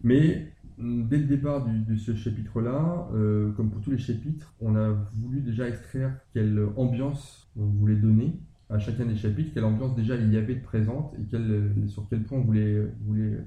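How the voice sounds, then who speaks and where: A man's voice: fairly low pitch, steady tone, moderate pace, hardly any pauses, roomy sound, phone-quality sound. A man co-hosting a podcast, talking casually and hesitantly, with many fillers and restarts. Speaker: podcast co-host discussing a roleplaying campaign.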